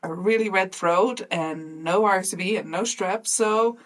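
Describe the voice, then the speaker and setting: A woman in her mid-thirties speaks in a medium-pitched voice, chatting informally as a podcast host. She tells personal stories in a giggly, conversational way. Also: a little slow. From Switzerland. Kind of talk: casual chat, sharing personal stories.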